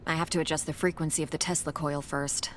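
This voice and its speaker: A capable woman scientist from a sci-fi video game, played by an actress, with a lowish voice and dry, understated delivery. Clear machinery and effects noise sits behind her. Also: little pitch movement, quick pace, close to an everyday voice. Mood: focused.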